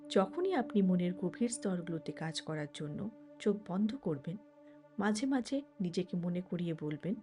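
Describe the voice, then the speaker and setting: A woman leading a guided meditation as an instructor, speaking in measured short phrases with pauses. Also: on the low side, warm tone. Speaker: certified meditation instructor and mind trainer. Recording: background music under the voice. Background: music.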